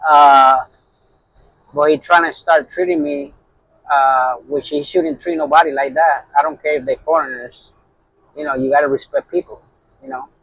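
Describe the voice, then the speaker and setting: Gravelly Puerto Rican trucker, heated. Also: male voice, fairly high pitch, steady tone, normal speed, a bit bright, no room sound, poor audio. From New York. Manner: heated storytelling, constant "you know" fillers. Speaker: owner-operator truck driver. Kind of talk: casual vlog rant.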